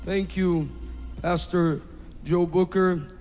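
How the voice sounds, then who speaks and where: A man preaching a fervent Pentecostal sermon in careful English, his first language being Portuguese, often rising to shouts. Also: high-pitched, fairly even intonation. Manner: halting, non-native English phrasing. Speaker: pastor. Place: large church hall. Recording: reverberant hall, faint music behind.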